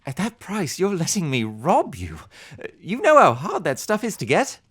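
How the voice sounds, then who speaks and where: Animated, flustered traveling bookseller man acting. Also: high-pitched, normal speed, breathy edge, full character voice. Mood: pleasantly surprised. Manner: hesitant, stammering. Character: weary, amiable, easily flustered travelling bookseller. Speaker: actor in a fantasy audio drama. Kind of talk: fantasy podcast acting.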